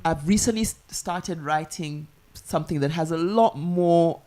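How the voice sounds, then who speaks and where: A woman's voice: lowish voice, measured pace, mellow. A woman writer interviewing a fellow author in a live festival conversation, thoughtful and searching, with long questions that circle around their point. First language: English.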